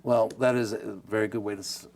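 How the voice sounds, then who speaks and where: A man's voice: lowish voice, steady tone, quick pace, gravelly, a little mellow, a little hiss or hum. A man moderating a community media forum, asking questions in a chatty, easygoing way. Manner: chatty, laughs easily. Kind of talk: panel discussion.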